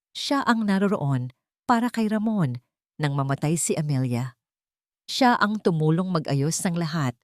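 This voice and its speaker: Expressive Filipino woman narrator, swooping pitch. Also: dry recording, studio quality. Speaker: story narrator. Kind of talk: scripted dramatic audio story narration in Tagalog.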